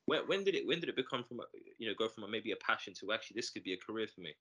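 A man's voice: lowish voice, animated, very fast, a bit bright. A man hosting a podcast, asking long, winding questions full of fillers. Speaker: podcast host, coach. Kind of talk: interview questions.

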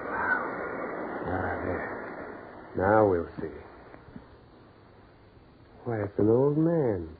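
An American actor playing a curious, insistent man on a dark beach in a 1940s radio horror play. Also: lowish voice, big pitch swings, very slow, narrow, tinny audio, exaggerated voice. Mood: fascinated. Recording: scratchy band-limited 1940s radio recording.

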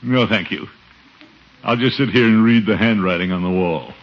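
An actor playing a pompous, put-upon middle-aged uncle in a 1950s radio comedy, blustering and muttering asides. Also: male voice, fairly low pitch, moderate pace, close to an everyday voice. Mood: sour muttering. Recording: noisy, dull 1950s radio recording.

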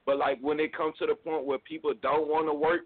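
Man, a label guest, easygoing phone-in talk, a bit high voice, tinny line. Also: little pitch movement, a little fast. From Mississippi. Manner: laid-back, repeats "you know what I'm saying". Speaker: music label co-owner guest. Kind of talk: casual phone interview.

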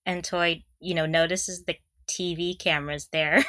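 A woman's voice: fairly low pitch, steady tone, unhurried, pushed and bright, no echo, clean studio sound. A young woman co-hosting a podcast in casual fan chat, playful and gushing, often giggling through her words. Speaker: podcast co-host. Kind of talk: casual fan discussion of audio dramas.

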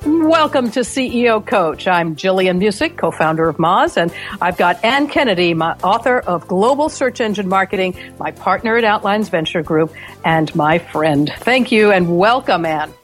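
A woman's voice: mid-range voice, expressive, normal speed, soft background music. A woman hosting a business podcast who explains her points conversationally and keeps checking in with tag questions. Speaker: podcast host.

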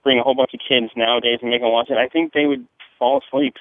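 A man's voice: medium pitch, fast talker, no echo. Male caller from New Jersey, slangy and foul-mouthed, meandering delivery, tinny close telephone sound. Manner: profane, slangy, hesitant and rambling. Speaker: listener leaving a call-in message. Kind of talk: casual chat, rambling message. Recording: muffled, narrow phone-like line, dry.

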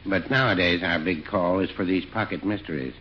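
An American actor playing a mild, hesitant, gently contrary small-town druggist with a droll, understated manner in 1940s radio comedy. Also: male voice, sits low, brisk, lightly acted. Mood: mild, amiable. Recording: muffled, noisy 1940s radio recording.

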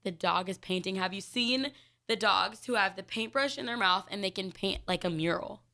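A woman's voice: mid-range voice, normal speed, pushed and bright. A young woman co-hosting a radio show in chatty, casual talk, using "like" often and laughing easily.

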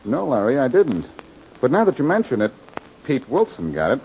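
A cool, teasing American sleuth in a 1940s radio mystery. He is wry and self-assured, with a very mobile, mid-pitched voice. The sound is an old, muffled recording with plenty of noise. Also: huge pitch swings, normal speed, a little mellow. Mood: cool, probing. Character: cool, wisecracking male amateur detective with quick wits.

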